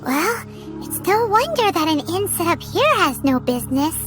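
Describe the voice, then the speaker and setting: A woman's voice: very high-pitched, very animated, normal speed. A woman voicing a small, childlike fairy companion in a video game, perky, cheeky and skeptical. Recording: music behind the voice.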